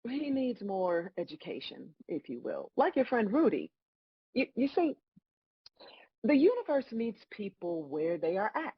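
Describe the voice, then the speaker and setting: Wry, expressive husky woman acting as God. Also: mid-range voice, a little slow, dry recording, muffled recording, exaggerated voice. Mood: wry, amused. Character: God as a wry, patient, bemused female celestial judge. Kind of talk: live table read of a comedic play.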